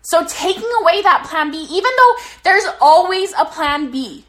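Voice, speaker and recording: A young woman sits on her bed and talks straight to her live-stream viewers, coaching them on business with an upbeat, energetic drive and a casual curse or two. Her voice sits high and bright. It is a little strained from days of talking too much. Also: moderate pace. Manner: energetic, swearing, motivational. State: slightly losing her voice. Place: bedroom, sitting on her bed.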